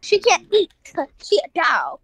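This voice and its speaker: A woman's voice: very high voice, huge pitch swings, a little slow, a bit bright, no room sound, heavily acted. A woman in an improvised game roleplay, playing a stubborn young woman, blunt and sassy.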